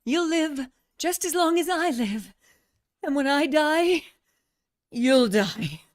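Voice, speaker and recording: A woman's voice: high voice, animated, takes it slowly, dry recording, studio quality. A woman playing a taunting, deathless witch in a vintage radio horror drama, mocking and theatrical as she dies. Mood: taunting, triumphant.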